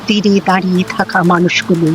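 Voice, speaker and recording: A woman's voice: on the low side, level tone, brisk. A woman narrating a scripted story dramatically. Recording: music under the voice.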